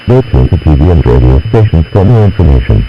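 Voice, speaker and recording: A man's voice: rumbling low, very animated, fast talker, lo-fi sound, a little hiss or hum. A man voicing an automated emergency alert announcer, formal and mechanical, reading a scripted warning. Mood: grave, impersonal. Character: very deep automated male emergency alert voice, impersonal.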